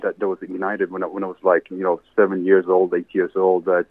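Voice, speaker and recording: A man from Sweden, answering interview questions in a rambling, halting way with many restarts. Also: deep voice, completely flat delivery, no room sound. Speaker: interview guest, entrepreneur. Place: salad bar. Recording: tinny phone line.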